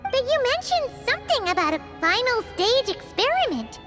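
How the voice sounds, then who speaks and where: A woman voicing a tiny, excitable female fairy companion in a video game cutscene, asking wide-eyed, alarmed questions. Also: very high-pitched, normal speed. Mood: alarmed curious. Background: game score music.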